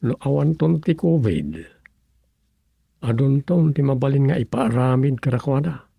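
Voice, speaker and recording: Casting: slow, husky village plotter with gravelly grunts and musing mutters, radio comedy. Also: male voice, medium pitch, expressive, warm tone, no echo, studio quality, exaggerated voice. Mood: musing, plotting. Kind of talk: Ilocano radio comedy drama acting.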